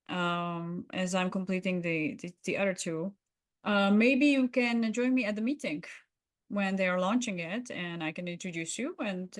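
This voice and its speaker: A woman co-hosting a conversational podcast, speaking in an encouraging, affirming way. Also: mid-range voice. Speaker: podcast co-host. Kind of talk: roleplay and conversational debrief.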